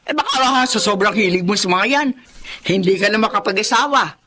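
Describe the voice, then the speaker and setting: A woman's voice: medium pitch, expressive, brisk, pushed and bright, breathy edge. An actress playing a middle-aged Filipino mother in a drama, scolding and exasperated but caring. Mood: exasperated, nagging. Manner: scolding, complaining. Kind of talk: Filipino radio-style drama acting.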